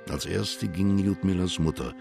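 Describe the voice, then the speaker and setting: A man's voice: low-pitched, normal speed, gravelly, over music. A man narrating a literary German radio drama from a script, as its storyteller.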